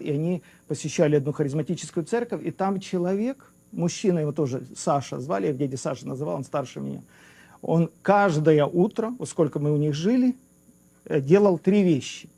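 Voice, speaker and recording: Man, mellow warm tone, slightly high voice, probing questions, calm debate. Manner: probing, polite objections. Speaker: questioner in a theological discussion. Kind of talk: conversational theological debate.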